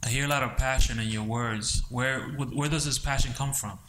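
A man hosting a podcast, giving introductions and asking interview questions in a measured, sincere way. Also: medium pitch, fairly even intonation, a bit bright, a little hiss or hum, poor audio. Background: music.

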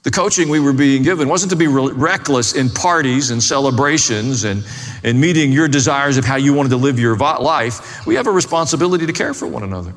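A man's voice: mid-range voice, lively intonation, brisk, fairly bright tone. A man preaching a sermon as a pastor, explaining scripture in a plain-spoken way, recorded in a reverberant hall. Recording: long reverb of a large hall.

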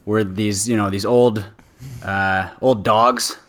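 A man's voice: on the low side, steady tone, slow pace, a bit bright. A man co-hosting a podcast, speaking in a casual, chatty style. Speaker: podcast co-host.

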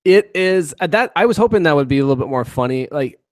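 A man's voice: on the high side, lively intonation, fast talker, dry recording, clean studio sound. Amused, rambling man. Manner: laughs often, rambling and amused. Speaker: co-host. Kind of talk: casual chat while watching a video.

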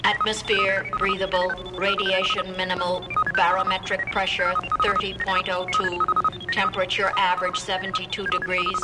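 An actor playing an emotionless computer in an audio drama, reading out data in clipped mechanical phrases. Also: male voice, sits high, completely flat delivery, moderate pace, loud music behind the voice, lightly acted, poor audio.

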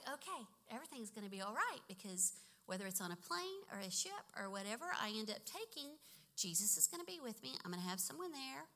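Bright-voiced chatty woman in her fifties. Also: high-pitched. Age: 50s. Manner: chatty, laughing, self-correcting. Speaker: speaker sharing a personal faith story. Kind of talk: casual testimony talk.

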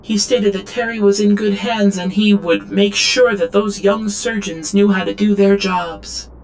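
A woman's voice: mid-range voice, fairly even intonation, a little slow, a little mellow, slight background noise, natural voice, poor audio. An actress playing an obedient female android drone in an audio drama, reciting logs in a clipped, literal, detached way. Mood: quietly wistful. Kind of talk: audio drama log narration.

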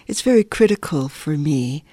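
An older woman from Hawaii giving a thoughtful interview in a measured, deliberate way, pausing between short phrases. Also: deep voice, animated, dark tone, no room sound. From Hawaii, United States. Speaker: interview guest, cultural anthropologist and elder.

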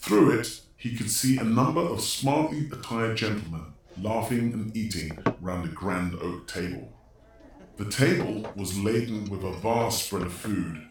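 A man narrating a scripted story in a dryly wry manner. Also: fairly low pitch. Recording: very echoey, slightly distant from mic.

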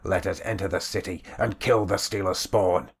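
A man's voice: deep voice, steady tone, moderate pace, vocal fry, husky, full character voice. A man as a fierce eager warrior. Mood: urgent. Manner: urgent, forceful.

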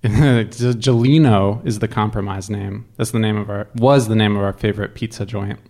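A man's voice: lowish voice, steady tone, normal speed, a little mellow. A man talking casually as a guest, telling personal stories in a wry, self-deprecating way. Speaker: guest storyteller.

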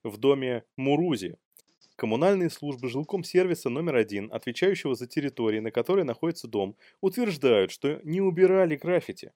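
A man's voice: mid-range voice, animated, brisk, mellow, no room sound, clean studio sound. A man co-hosting a comedy news show, mixing read-out items with playful, irreverent, slangy commentary. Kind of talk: news read-outs mixed with casual joking commentary.

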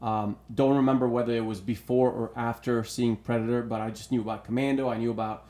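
A man's voice: medium pitch, fairly even intonation, fast talker, warm tone. Emphatic man in his thirties from Bulgaria. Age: 30s. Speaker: podcast guest. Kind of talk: casual chat, personal storytelling.

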